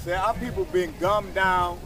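A man's voice: sits high, fairly even intonation, measured pace, over music. An American man preaching on the street outdoors, leading readers with confrontational, mocking, repetitive call-and-response, picked up by a camera several feet away. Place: outdoors at a waterfront park walkway.

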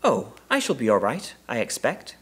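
Storybook role: small young bear, performed by a man, high small voice, impeccably polite, earnest and a touch self-important. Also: wildly swooping pitch, normal speed, exaggerated voice. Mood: proud, hopeful. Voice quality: small, clear voice. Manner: polite, proper, precise.

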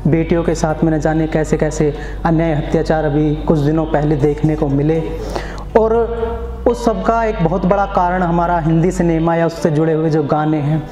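A man's voice: on the high side, fairly even intonation, moderate pace, warm tone, echoey room, big-room sound, faint music behind. A young man from Uttar Pradesh reciting his poems at an open mic, declaiming and repeating lines for emphasis on a stand mic. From Uttar Pradesh, India. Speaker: poet. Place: small indoor open mic venue.

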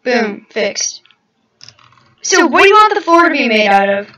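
A woman's voice: on the high side, big pitch swings, unhurried, sharp tone. A woman chatting casually while playing a game, keeps calling out to her partner. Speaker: gameplay host. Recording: echoey room, distant mic.

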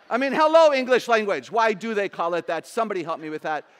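Older lady with a really low voice telling funny stories at a church conference, big stage. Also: a little fast. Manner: animated, humorous storytelling. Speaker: conference speaker. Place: large stage.

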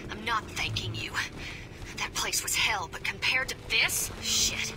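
A woman's voice: medium pitch, lively intonation, measured pace, over music, lightly acted. A woman playing a hard-bitten, intense soldier in a video game, with a terse, tough manner. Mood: bitter, intense. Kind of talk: dramatic video game acting. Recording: voice under loud score.

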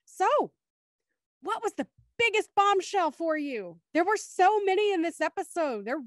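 High-pitched woman narrator and host. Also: very animated, normal speed, no room sound, studio quality. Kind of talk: scripted radio play narration and livestream hosting. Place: indoors on a group video call.

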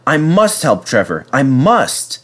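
A man's voice: high voice, wildly swooping pitch, a little slow, a bit bright, voice pushed up, heavily acted. A man playing a kindly, earnest old steam engine in a storybook, speaking emphatically. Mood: troubled, determined.

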